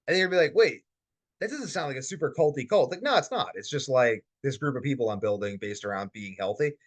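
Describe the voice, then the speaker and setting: Animated, rambling young man on a webinar. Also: medium pitch, quick pace. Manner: rambling, filler-heavy, animated. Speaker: webinar host, insomnia coach. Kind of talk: casual webinar talk. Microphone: computer mic on video call.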